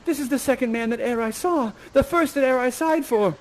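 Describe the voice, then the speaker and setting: A man's voice: extremely high pitch, brisk, voice pushed up. A man voicing a smitten, giggly young maiden from a Shakespeare play, speaking theatrical verse with exclamations. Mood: pleading, lovestruck.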